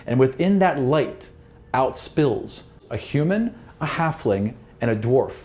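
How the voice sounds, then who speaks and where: A man's voice: mid-range voice, expressive, takes it slowly, darkish tone. A man working as a game master, narrating a tabletop roleplaying game in a descriptive storytelling way. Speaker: game master and podcast host.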